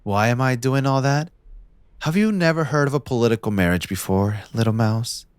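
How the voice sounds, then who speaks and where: A man playing a possessive, smug young mafia heir in a scripted audio roleplay, speaking in a theatrical, condescending, deliberate villain's drawl. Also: medium pitch, expressive, clean tone, no room sound, clean studio sound, close to an everyday voice. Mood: gloating.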